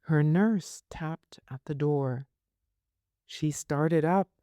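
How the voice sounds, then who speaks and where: Very slow, mellow woman reading a novel. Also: low-pitched, no echo. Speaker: audiobook reader. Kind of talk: scripted literary narration.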